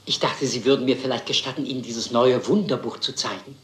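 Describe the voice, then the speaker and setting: An actor playing a timid, courteous older bachelor sidekick in a German crime radio play, formal and easily flustered. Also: male voice, medium pitch, a little fast, a bit bright, roomy sound, natural voice.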